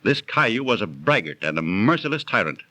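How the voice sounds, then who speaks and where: An actor in a 1930s radio drama playing a well-travelled, genteel storyteller recounting an old legend theatrically. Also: male voice, on the low side, sing-song, fairly quick, sharp tone, dry recording, heavily acted. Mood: musing, authoritative.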